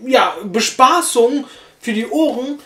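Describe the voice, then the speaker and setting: A man's voice: very high-pitched, lively intonation, unhurried, a bit bright. A young man hosting a review vlog, laid-back and colloquial, openly enthusiastic. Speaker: host, reviewer. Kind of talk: casual vlog chat. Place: small room on a sofa. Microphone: camera across the room. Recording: echoey small room.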